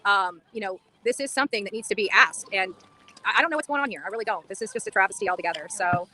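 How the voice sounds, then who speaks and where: A woman's voice: medium pitch, lively intonation, fast, pushed and bright, slight background noise. A woman livestreaming an impassioned, insistent monologue outdoors on a street, repeating phrases for emphasis.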